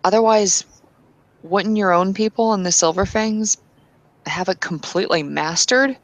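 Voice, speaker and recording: A woman playing slow, earnest kinfolk believer. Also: medium pitch, natural voice, poor audio. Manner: hesitant, thinks aloud with fillers and restarts. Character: devout kinfolk woman of faith, earnest questioner. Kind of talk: tabletop roleplay in character.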